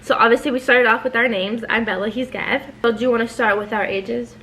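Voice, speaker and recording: A woman's voice: a little high, fairly even intonation, a little fast, sharp tone, a little hiss or hum, poor audio. Chatty young woman hosting a vlog. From New York, raised in New Jersey. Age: 20s. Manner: chatty, playful, swears freely. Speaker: channel host. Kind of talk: casual couples Q&A chat.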